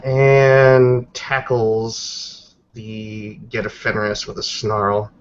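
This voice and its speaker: Male tabletop player, unhurried halting narration, muffled lo-fi recording. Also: fairly low pitch, completely flat delivery, clear, resonant tone. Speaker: roleplaying game player. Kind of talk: improvised tabletop roleplay narration.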